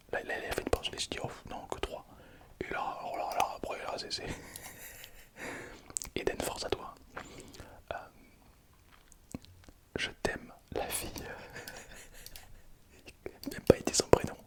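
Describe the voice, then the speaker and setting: A young man whispering playfully through an ASMR video, reading comments aloud in casual slang and laughing often. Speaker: ASMR content creator.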